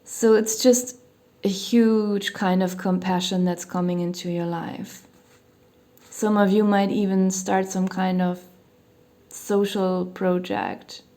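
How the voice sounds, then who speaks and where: Woman, tarot reader, slightly hoarse, mellow flat delivery, rambling with fillers. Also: medium pitch. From Germany. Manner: meandering, hedging, many "you know" fillers. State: losing her voice, slightly hoarse. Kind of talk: casual tarot reading monologue. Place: home near a hospital, sirens outside.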